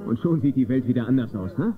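An actor playing an easygoing, sociable card player in a children's radio play. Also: male voice, mid-range voice, animated, quick pace, natural voice. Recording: muffled phone-like old cassette, music behind.

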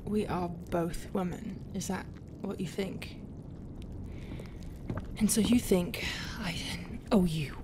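A woman playing a haughty, bitter pirate captain in an ASMR roleplay, scornful and often dropping into whispers. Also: on the low side. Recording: clear ambient noise behind the voice.

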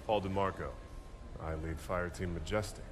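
A man's voice: sits low, fairly even intonation, moderate pace, background noise, natural voice. A man playing a cocky, swaggering squad leader of space soldiers in a video game. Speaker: fire team leader. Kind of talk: cinematic video game voice acting. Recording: music behind the voice.

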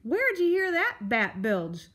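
A woman's voice: sits high, huge pitch swings, takes it slowly, a bit bright, pitched above the performer's own voice, exaggerated voice. A woman voicing a cantankerous old male storybook porcupine, blustering and exclaiming crude, colourful insults. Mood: exasperated.

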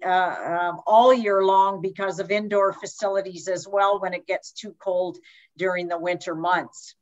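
A woman from Canada giving an online lecture over slides on a video call, speaking in a measured way with frequent hesitations. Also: mid-range voice. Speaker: guest lecturer. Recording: slightly dull video-call audio.

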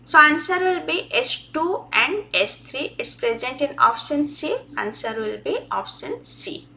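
Woman, middle-aged, tutor; slow, careful, bright higher voice. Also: animated, gravelly, a little hiss or hum, low-quality recording. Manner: slow, deliberate reading of formulas. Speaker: exam-prep tutor. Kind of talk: step-by-step lesson walkthrough.

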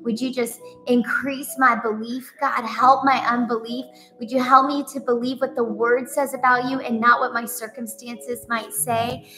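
Lady praying out loud, kinda high voice, earnest and pleading, repeats phrases, music playing behind her. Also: level tone, normal speed. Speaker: co-leader praying. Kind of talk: extemporaneous prayer.

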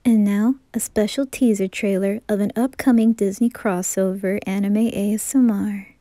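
A woman's voice: mid-range voice, fairly even intonation, moderate pace, mellow, no room sound, soft background music. A woman, an ASMR roleplay creator, announcing an upcoming teaser. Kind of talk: announcing a trailer.

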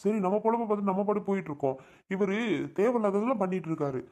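A man's voice: high voice, expressive, very fast, a little mellow. A man playing a harried brother in a colloquial family drama about everyday troubles. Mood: exasperated. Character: harried adult brother in a family money dispute.

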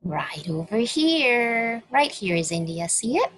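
A woman from the United States reading a picture book aloud to children, slow and expressive, asking them questions. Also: fairly high pitch. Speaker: children's story reader.

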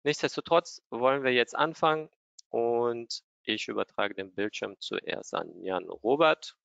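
A man's voice: mid-range voice, measured pace, vocal fry, a bit bright, clear, resonant tone, dry recording. A man hosting and opening a broker's webinar over a video call, welcoming viewers formally with a few fillers. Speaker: webinar host. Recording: dull lo-fi video call audio.

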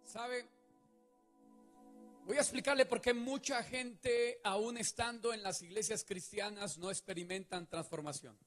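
A man's voice: high voice, measured pace, fairly bright tone, soft background music. A man preaching a sermon on a large church stage into a handheld mic. He speaks emphatically and keeps putting questions to the congregation. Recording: reverberant church hall.

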